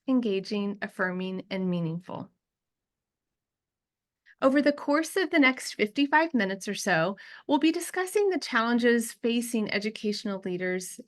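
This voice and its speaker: A woman hosting a webinar, giving a smooth prepared introduction of the panel. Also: medium pitch. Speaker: webinar host.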